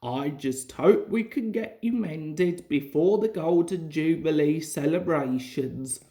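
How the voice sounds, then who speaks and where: A woman voicing an authoritative but kindly male railway controller in a children's story, measured and exclamatory. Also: sits low, mellow, pitched below the performer's own voice. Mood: reassuring, wry.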